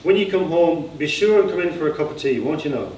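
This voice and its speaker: A man's voice: on the high side, expressive, fast, darkish tone, highs cut off, pitched above the performer's own voice, lightly acted. A man with an Irish accent voicing a welcoming, insistent country father in a story, coaxing and folksy. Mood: hearty, insistent. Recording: echoey room, distant mic, faint noise.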